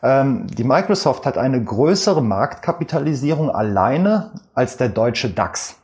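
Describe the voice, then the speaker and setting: Man, Rhineland German accent, mid-pitched, expert guest, explanatory, filler sounds. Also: big pitch swings, darkish tone. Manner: measured, explanatory, with frequent fillers. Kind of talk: explanatory interview answers.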